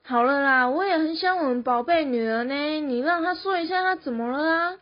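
A woman's voice: high-pitched, brisk, lightly acted. A teenage girl acting a tender, anxious mother in an amateur radio drama. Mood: fussing, tender. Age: teens. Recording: dull lo-fi student recording.

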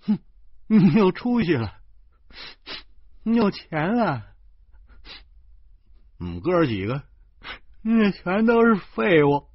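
A man voicing a weepy, aggrieved younger brother who wails in a high, sobbing voice. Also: big pitch swings, takes it slowly, pauses often, husky, dry recording, dull audio, heavily acted. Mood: bitter. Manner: wailing, high-pitched, sobbing.

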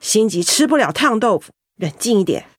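A woman's voice: mid-range voice, sing-song, brisk, no echo, studio quality. An actress playing a sensible, motherly senior colleague in a Mandarin radio drama, scolding yet caring, with a Taiwanese accent. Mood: calming, firm.

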